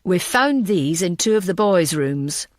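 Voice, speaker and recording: A woman's voice: lowish voice, expressive, unhurried, no echo, clean studio sound, pitched below the performer's own voice. A woman voicing a reluctant, blunt male investigator uneasily reporting grim news in an audiobook. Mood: grave, uneasy.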